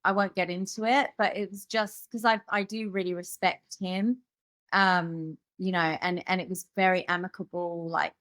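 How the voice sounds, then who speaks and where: A woman's voice: lowish voice, fairly even intonation, moderate pace, a bit bright, dry recording. Female musician guest from the UK, candid storyteller, filler-heavy, dry recording. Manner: rambling, many fillers and restarts.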